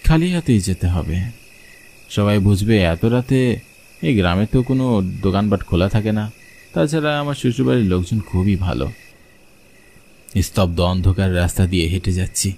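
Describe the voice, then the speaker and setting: A man's voice: on the low side, moderate pace, pauses often, dark tone, slight background noise. A man narrating a scripted horror story in the first person, as a storyteller.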